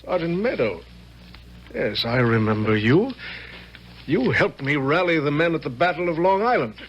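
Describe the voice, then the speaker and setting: An actor playing a weary, pragmatic, commanding general in a radio drama, speaking in a measured, formal, authoritative way. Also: male voice, on the high side, animated, a bit bright, slightly rough, highs cut off. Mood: stern. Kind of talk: vintage-style radio drama acting. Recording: faintly noisy radio broadcast recording.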